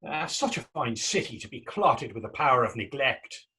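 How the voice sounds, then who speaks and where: Stage drama: man as poetic Irish confidant, curious and kindly, raspy voice, very lively intonation, old-fashioned phrasing. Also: medium pitch, moderate pace, full character voice. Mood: sympathetic. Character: sympathetic, curious, poetic Irish companion named Tommy. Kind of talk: stage readers theatre acting in dialect.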